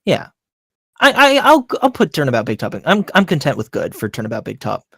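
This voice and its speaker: A man's voice: a little high, wildly swooping pitch, fairly quick, vocal fry, a bit bright, slightly rough, dry recording, clean studio sound. A man chatting casually in a debate, talking in a hesitant, conceding way. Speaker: co-host of a ranking discussion.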